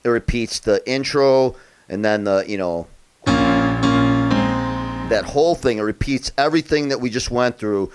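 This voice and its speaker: A man from upstate New York giving a relaxed, chatty piano lesson, thinking out loud as he plays by ear. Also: mid-range voice, normal speed, pauses often. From Rochester area, New York, USA. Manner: relaxed and chatty, thinks aloud while playing. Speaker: piano tutor. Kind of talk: casual play-by-ear piano tutorial. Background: loud piano playing.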